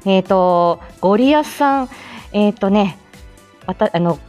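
A woman's voice: mid-range voice, expressive, unhurried, darkish tone, faint music behind. A woman hosting a live audio show, chatting casually and politely through morning announcements.

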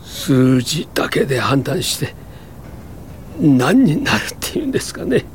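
An actor playing a sickly, earnest tuberculosis patient in a radio drama, plain-spoken, halting and short of breath. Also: male voice, mid-range voice, very animated, a little hiss or hum. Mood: anguished. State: ill, coughing, breathless.

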